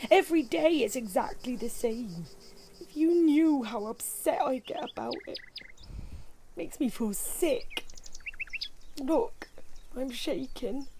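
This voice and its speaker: A woman's voice: high voice, dark tone, a little hiss or hum, full character voice. A woman playing an anxious, jealous older wife in an audio drama, fretful and pleading.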